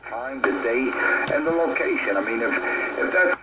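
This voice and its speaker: Taunting New Yorker on a noisy radio. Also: male voice, medium pitch, animated, normal speed. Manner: taunting, repetitive rhetorical questions. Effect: radio transmission. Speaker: radio operator chatting on an open channel. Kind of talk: casual argumentative banter. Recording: dull two-way radio with heavy noise.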